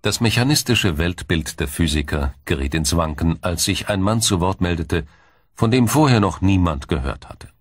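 Low-voiced male documentary narrator. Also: expressive, breathy edge, no echo, studio quality. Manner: measured, explanatory, formal delivery.

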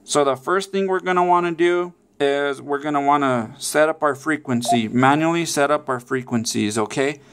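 Man, slightly high pitch, smooth clear voice, casual tutorial presenter, step-by-step, frequent check-ins. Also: moderate pace, hardly any pauses, a bit bright. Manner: repeatedly checks in with "okay" and "all right". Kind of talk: casual step-by-step how-to instruction.

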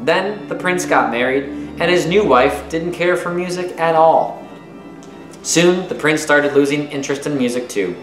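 Man, 30s, teacher-style read-aloud of a kids' nonfiction book. Lively, expressive pitch movement, roomy home recording. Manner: expressive, clearly phrased for young listeners. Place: small room at home, indoors. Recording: roomy echo with music behind. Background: music.

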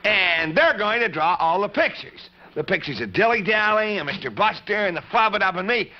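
A man's voice: sits high, lively intonation, fairly quick. A man voicing an earnest, friendly cowboy boy marionette in a folksy, exclamatory way on a 1950s children's puppet show. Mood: apologetic. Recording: dull, noisy old television soundtrack.

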